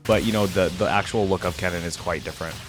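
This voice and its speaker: A man's voice: sits low, fairly even intonation, fast, soft background music. A man hosting a reaction video, talking informally and thinking aloud in unscripted commentary. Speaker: YouTube reaction host.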